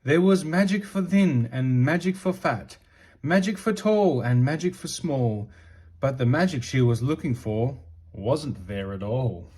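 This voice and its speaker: A man reads a children's storybook aloud in expressive narration. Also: medium pitch, unhurried, husky. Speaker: storybook reader.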